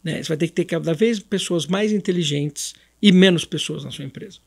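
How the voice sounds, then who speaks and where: A man's voice: on the high side, fairly quick, gravelly. A Brazilian man giving business advice as a podcast guest, in a didactic, emphatic way, setting out point after point.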